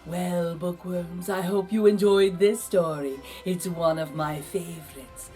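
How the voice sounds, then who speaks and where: A woman reading a children's picture book aloud as host, theatrical and deliberate, with dramatic pauses. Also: on the low side, fairly even intonation, over music. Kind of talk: expressive storybook narration.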